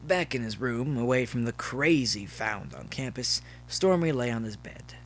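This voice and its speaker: A man's voice: medium pitch, animated, normal speed. Man narrating a story over faint hum. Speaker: narrator, fan fiction reader.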